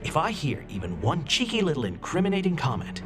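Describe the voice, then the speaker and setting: An actor playing an arrogant, shady senator in a video game, haughty and measured with a scornful temper. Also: male voice, a little high, huge pitch swings. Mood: grudging threat. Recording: loud music over the voice.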